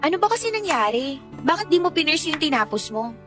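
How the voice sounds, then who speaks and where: Radio drama role: wistful, put-upon young Filipino woman, conversational and self-mocking, higher register, light music underneath. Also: sing-song, a little fast, pushed and bright, full character voice. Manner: conversational, complaining, self-deprecating. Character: poor, wistful young working woman, long-suffering eldest daughter. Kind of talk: Tagalog radio drama acting. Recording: music bed behind the dialogue.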